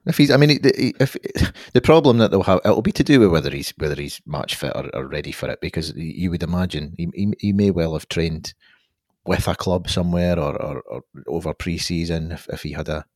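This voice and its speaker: A man talks football on a podcast in a very deep voice, weighing each point with hedges and restarts. The sound is dry and close to the mic. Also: fairly quick, a little mellow, dry recording. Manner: thoughtful, hedging, many restarts. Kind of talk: casual football chat.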